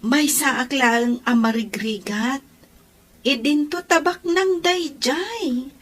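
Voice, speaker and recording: A woman's voice: high-pitched, slow, fairly bright tone. A young woman voicing a shy daughter about to work abroad, bashful and giggly.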